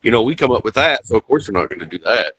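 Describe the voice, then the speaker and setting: A man's voice: fairly low pitch, sing-song, racing, fairly bright tone, slightly rough, no room sound, highs cut off. A man co-hosting a casual live stream podcast, rambling with lots of restarts and "you know". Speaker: podcast co-host.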